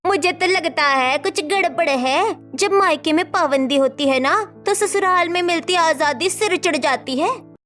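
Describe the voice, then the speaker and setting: A woman's voice: extremely high pitch, unhurried, a bit bright, soft background music. A woman playing a gossipy, disapproving neighbour in a cartoon story, judgmental and insinuating. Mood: scandalised.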